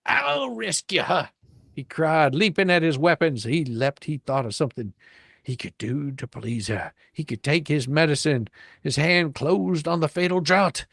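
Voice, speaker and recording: A man's voice: a little high, moderate pace, fairly bright tone, dry recording, studio quality. A man reading a storybook dramatically, with theatrical delivery and playful, folksy, ungrammatical embellishments. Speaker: reader, storyteller.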